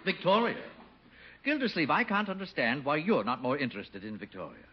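An actor playing an absent-minded middle-aged husband in a radio comedy, halting, vague and earnestly smitten. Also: male voice, on the high side, sing-song, a little hiss or hum. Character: absent-minded, slow-witted husband smitten with his sister-in-law. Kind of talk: 1950s radio comedy acting. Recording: muffled old radio recording.

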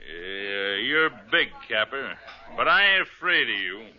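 Sharp, goading cowboy bully. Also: male voice, fairly low pitch, very animated, unhurried, full character voice. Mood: needling. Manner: taunting, needling, drawling insistence. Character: belligerent gunman bully picking a saloon fight. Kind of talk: 1950s radio western acting. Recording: dull old radio recording.

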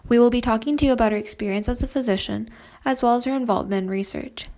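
A woman's voice: fairly high pitch, fast, darkish tone. A woman hosting a podcast, reading a scripted introduction. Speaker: podcast host.

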